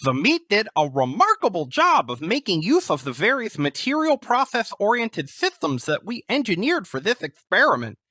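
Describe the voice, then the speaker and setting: Woman voicing an animated, nerdy botanist, dry sound. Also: medium pitch, moderate pace, few pauses, no echo. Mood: dry, condescending. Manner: bookish, wordy, stammering academic. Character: eager, nerdy, fussy botanist doctoral student in a zombie shooter. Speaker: quest-giving game character. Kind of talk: scripted video game dialogue.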